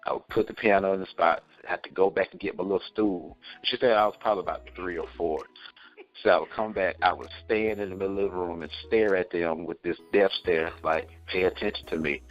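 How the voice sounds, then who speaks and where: A man's voice: low voice, fairly even intonation, brisk, muffled recording, soft background music. A man hosting an interview series, enthusiastic and telling stories from his own childhood. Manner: enthusiastic, storytelling. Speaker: interview host. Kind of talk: casual interview chat.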